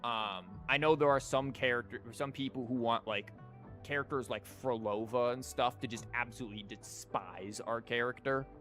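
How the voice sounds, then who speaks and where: A man's voice: mid-range voice, fairly even intonation, normal speed, a bit bright, faint music behind. A man giving casual livestream commentary on a game, rambling informally and thinking aloud with false starts. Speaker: video game streamer.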